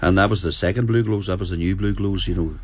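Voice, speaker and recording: A man's voice: sits low, fairly even intonation, fast talker, slight background noise. A man who plays in a band, chatting with a cold and rambling on, saying aye a lot. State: has a cold. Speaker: band musician.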